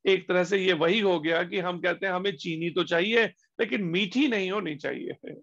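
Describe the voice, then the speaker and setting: A man giving a devotional discourse as a spiritual teacher, slowing down on key words and asking rhetorical questions. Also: high voice, creaky, a bit bright. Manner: slow, deliberate emphasis with pauses on key words. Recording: dull lo-fi recording.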